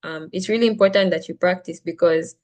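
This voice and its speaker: Chatty young woman tutor, lower voice. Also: fairly quick. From Zambia. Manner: chatty, frequent "like" and "right?", restarts sentences. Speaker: SAT reading tutor. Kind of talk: casual classroom teaching.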